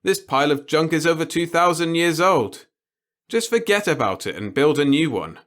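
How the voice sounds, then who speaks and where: Give the voice of a sardonic male space repairman in a sci-fi story, played by a male reader. His pitch jumps well up from a normal register. He sounds defiant and indignant, complaining like someone fed up with the job. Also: expressive, moderate pace, fairly bright tone. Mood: appalled, indignant.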